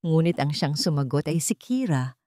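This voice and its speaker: Mellow, expressive woman storyteller. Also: low voice, normal speed, no echo, clean studio sound. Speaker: story narrator. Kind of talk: scripted dramatic narration of a romance story.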